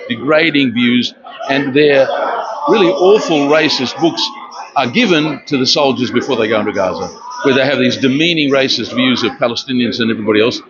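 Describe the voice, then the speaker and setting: An older man born in Romania, giving an earnest street interview at an outdoor protest, speaking haltingly with many fillers. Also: mid-range voice. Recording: dull lo-fi with loud outdoor noise.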